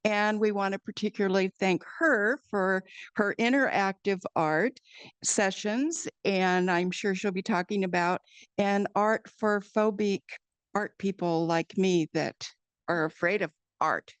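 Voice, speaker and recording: A woman hosts an event and introduces the next speaker, pausing on an um here and there. She speaks slowly, her voice smooth and clear at a middle pitch, and the sound is dry. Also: no room sound. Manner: unhurried introductions with fillers.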